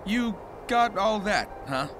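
Cocky young hedgehog hero, high-pitched. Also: male voice, lively intonation, takes it slowly, noisy background. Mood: wry, teasing. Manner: breezy, wry and confident. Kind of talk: video game cutscene acting. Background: loud game music.

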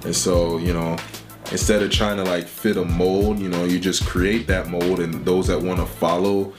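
A man's voice: low-pitched, flat delivery, moderate pace. A young man talking casually about his music label, with lots of "you know" fillers. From Liberia. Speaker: rapper and label owner. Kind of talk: casual sit-down interview. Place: small home studio indoors.

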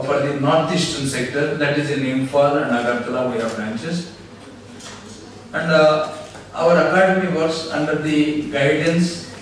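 Middle-aged man, Indian English accent, formal welcome speech, halting with fillers, conference room, distant mic, faint room noise. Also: a little high. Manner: measured, halting delivery with frequent uh fillers. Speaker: official welcoming a visiting delegation. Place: wood-panelled conference room. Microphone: table gooseneck microphone, recorded from afar. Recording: echoey distant room recording.

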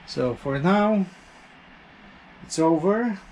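Slow-talking man with a gravelly creak. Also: sits high, lively intonation, background noise. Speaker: motorcycle hobbyist, video host. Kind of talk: casual DIY vlog narration.

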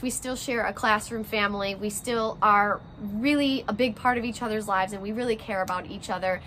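A woman's voice: mid-range voice, a bit bright, slight background noise. A woman in her twenties, a first grade teacher, talking and reading aloud expressively to young children, recorded outdoors on a porch. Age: 20s. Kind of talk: children's read-aloud and direct address to young pupils. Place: outdoors on a porch by glass doors.

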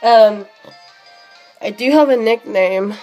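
Sick young girl rambling over her game, high voice, drawn-out slow talk, faint music behind. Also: muffled recording. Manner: chatty, excitable, rambling with many fillers. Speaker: game streamer. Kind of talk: live shiny hunting commentary.

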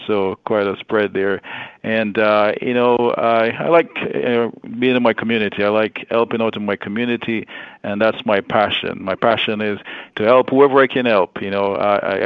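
A man in his forties from Jamaica, a guest speaking earnestly with many pauses and fillers. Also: on the low side, clean tone, dry recording, lo-fi sound. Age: 40s. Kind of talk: casual interview.

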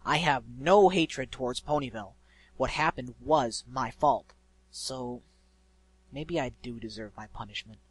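A man's voice: medium pitch, measured pace, breathy edge, dry recording, poor audio. A man voicing a surly, scornful young female griffin in a storybook, blunt and exasperated. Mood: scornful, wary.

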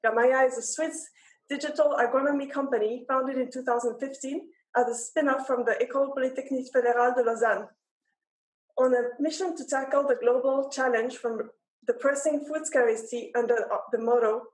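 A young woman with a very high voice reads out a formal, prepared welcome as an event host. The sound is strongly roomy, like a laptop picking her up in a big empty hall. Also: fairly even intonation, moderate pace, slight background noise. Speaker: event host introducing a panel. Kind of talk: scripted formal introduction. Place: large empty event hall with rows of chairs.

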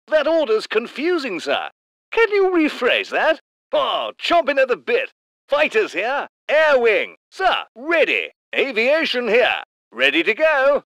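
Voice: medium pitch, fairly bright tone, dry recording, exaggerated voice. An actor voicing a cocky British military aviator in a video game, wry, informal and slangy. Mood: ready. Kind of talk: video game unit voice lines.

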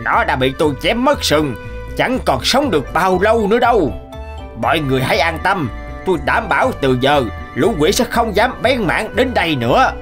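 A man voicing a self-assured male sorcerer who speaks slowly and confidently in a cartoon. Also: sits high, very animated, a bit bright, soft background music, voice pushed up. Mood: reassuring. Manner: slow, confident, incanting.

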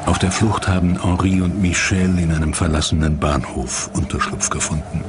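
A man's voice: deep voice, steady tone, normal speed, heavy background noise. A man narrating a dramatic documentary from a script.

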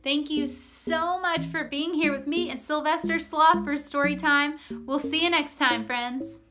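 Woman, thirties, kids' story host, slow pace, animated, encouraging, music behind. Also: high-pitched. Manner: animated, encouraging, simple clear phrasing. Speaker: children's story time host. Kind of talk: expressive storytelling for young children. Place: small room with cloth backdrop. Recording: roomy echo, music behind.

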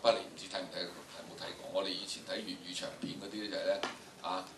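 A man's voice: deep voice, flat delivery, very fast. An older man with a Hong Kong Cantonese accent, teaching a class with theatrical, emphatic delivery and repeated phrases. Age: older, about 70s. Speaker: drama teacher, lecturer. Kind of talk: animated classroom lecture to students. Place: large indoor lecture room with audience. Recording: echoey lecture room, distant, noisy.

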